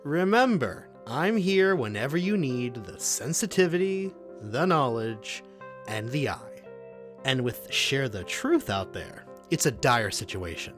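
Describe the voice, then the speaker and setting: A man's voice: mid-range voice, sing-song, a little slow, a bit bright. A man playing a grandiose, self-promoting investigator for hire with a salesmanlike manner in an audio drama. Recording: music under the voice.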